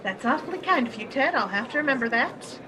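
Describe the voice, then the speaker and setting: Harried wife running a cinema, radio drama style, high voice over a busy crowd. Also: female voice, animated, quick pace, rough recording. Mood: firm. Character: harried, polite American cinema owner's wife. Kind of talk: old-time radio play acting. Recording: crowd noise behind. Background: crowd of people.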